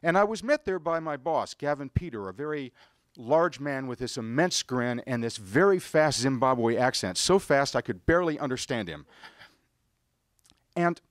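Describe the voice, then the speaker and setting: Middle-aged man, American accent, live storyteller, theatrical delivery, highly varied intonation, fairly high voice. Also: no echo, studio quality. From United States. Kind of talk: rehearsed personal story told live on stage. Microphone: stage microphone on a stand.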